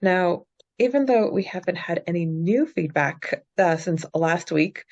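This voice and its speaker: A woman's voice: on the low side, lively intonation, a little slow, a little mellow. A woman hosting a casual podcast, informally leading the segments. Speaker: podcast host. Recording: slightly dull, lo-fi audio.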